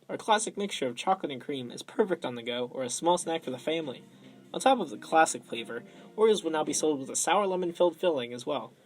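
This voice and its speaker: A man's voice: medium pitch, close to an everyday voice. A man voicing a folksy, earnest announcer in a 1920s radio cookie commercial. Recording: noisy amateur recording.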